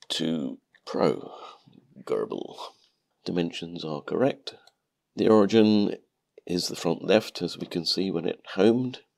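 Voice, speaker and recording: A man narrating a calm step-by-step tutorial, sounding nasal from a cold. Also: sits low, gravelly. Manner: calm, methodical explaining. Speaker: maker channel host.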